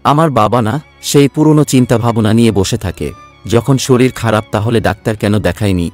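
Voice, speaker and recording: A man's voice: on the low side, normal speed, darkish tone, faint music behind, lightly acted. A man playing a self-centred young working son from a village in a cartoon story, speaking emotionally. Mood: indignant, dismissive.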